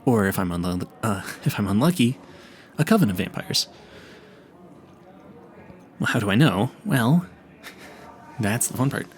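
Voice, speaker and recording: Man acting a nervous, rambling vampire hunter, very animated. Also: lowish voice, measured pace, pauses often, faint music behind. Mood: eager, rambling. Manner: stammering, rambling, easily sidetracked, eagerly earnest. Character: nervous, clueless, chatty young male vampire hunter. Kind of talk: audio roleplay spoken to the listener.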